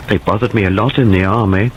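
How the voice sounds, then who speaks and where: A man voicing an unhinged young man who talks like a child, his voice climbing high and gleeful. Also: fairly even intonation, fast, a little hiss or hum, natural voice, low-quality recording. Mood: petulant. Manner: childlike and gleeful, rising shrilly.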